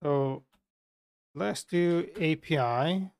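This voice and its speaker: A man from Turkey with a Turkish accent, a software developer chatting frankly and with profanity to his viewers while coding live. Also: a little high, extremely slow, creaky, clear, resonant tone. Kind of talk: casual live coding stream chat.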